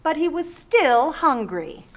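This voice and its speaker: Woman, storybook read-aloud, fairly high voice, lively intonation, expressive stage reading. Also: slow. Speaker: reader's theater performer. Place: theater stage.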